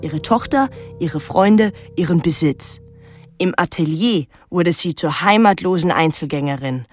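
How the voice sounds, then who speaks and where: An actress playing a reflective daughter who narrates a literary radio drama in a measured, introspective way. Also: female voice, lowish voice, darkish tone, soft background music. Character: reflective daughter recalling her reclusive painter mother.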